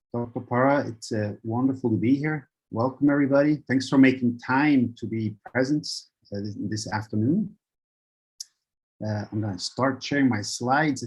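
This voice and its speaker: A man's voice: medium pitch, darkish tone, slightly rough, rough recording. A man from Switzerland, a professor and guest speaker, presenting a webinar lecture in a friendly, conversational way. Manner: friendly, conversational, uses "right?".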